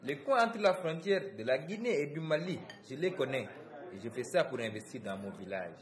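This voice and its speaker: Actor as a lively young gold miner, roomy sound. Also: male voice, fairly high pitch, a little fast, a crowd in the background, natural voice. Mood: indignant, proud. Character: busy young male gold miner, proud and brash. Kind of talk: radio drama acting.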